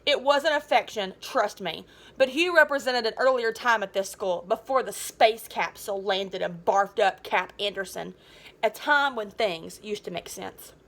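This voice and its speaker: A woman reading a children's novel aloud as a teacher, in an animated, dramatic and informal way. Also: medium pitch, measured pace. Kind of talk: expressive children's book read-aloud.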